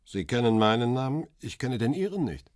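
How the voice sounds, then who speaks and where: A man playing a paparazzo who tells his own crime story in a radio drama, husky and measured in a literary way. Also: medium pitch, sing-song, darkish tone, no echo, studio quality, natural voice. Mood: probing. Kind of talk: radio drama first-person narration and dialogue.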